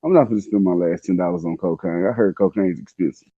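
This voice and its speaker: A man's voice: low-pitched, fast talker, warm tone, slightly rough, no echo, dull audio. A man telling a personal story in casual slang, laid-back and conversational, acting out the dialogue as he goes.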